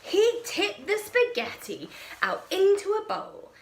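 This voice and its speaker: A woman reading a children's picture book aloud as a storyteller, with expressive, deliberate and dramatic delivery. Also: very high voice, slight background noise.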